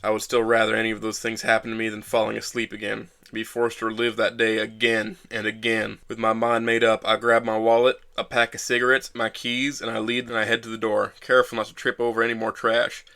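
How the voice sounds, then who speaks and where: An actor playing a bitter, self-loathing young trauma survivor, narrating an audio drama in the first person with a brooding manner. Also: male voice, on the low side, monotone, brisk, gravelly, few pauses, sharp tone.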